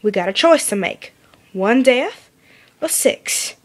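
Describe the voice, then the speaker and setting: A woman reads as a stoic female farm pony in a fairly high voice. She is grim, folksy and plain-spoken in a fan story reading. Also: animated, takes it slowly.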